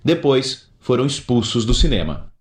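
A man's voice: medium pitch, very animated, moderate pace. A Brazilian man retelling an anecdote in casual, conversational speech. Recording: roomy, echoing sound.

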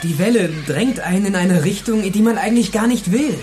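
An actor playing a troubled, earnest teenage schoolboy in a German radio drama. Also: male voice, high-pitched, fairly quick, a little mellow, slight background noise, natural voice. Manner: earnest, uneasy.

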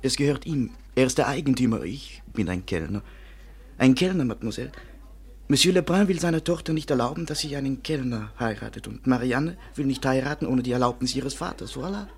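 An actor playing a lovesick, self-pitying French waiter with theatrical, mournful delivery in a 1950s German radio play. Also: male voice, medium pitch, lively intonation, fairly quick, creaky, breathy edge. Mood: mournful, despairing. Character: lovesick, melodramatic French waiter and hobby painter, a man.

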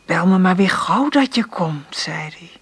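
An actress in a Dutch radio play playing a weary, struggling mother, husky and intimate. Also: on the low side, expressive, a little slow, exaggerated voice. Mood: weary, confiding. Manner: intimate murmured monologue, gentle with her child. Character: weary, struggling mother confiding in her diary.

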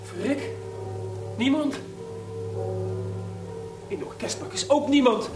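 A man acting in a radio play as an excitable, aggrieved composer short of money, stammering and exclaiming. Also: very high voice, takes it slowly, music in the background, exaggerated voice. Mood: bewildered, alarmed. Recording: noisy radio play recording.

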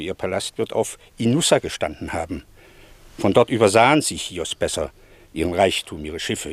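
An actor playing a stern, needling Greek sea captain in a German radio play, telling a tale pointedly with rhetorical questions. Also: male voice, sits low, no room sound.

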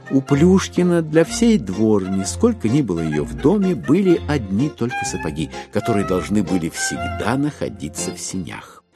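A man narrating a literary audio drama in a measured, expressive storytelling manner. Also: fairly high pitch. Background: music.

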